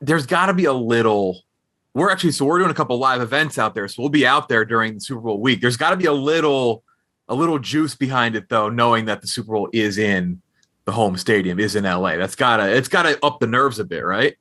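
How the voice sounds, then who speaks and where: A man's voice: mid-range voice, animated, a little fast, pushed and bright. A man hosting a podcast, chatting casually and informally as he interviews a guest.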